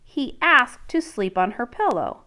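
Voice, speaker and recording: Female, teacher, children's read-aloud, slow pace, highly varied intonation, expressive, prompts listeners to join in. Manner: expressive, deliberate, pausing to question young listeners. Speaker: teacher reading aloud to a class.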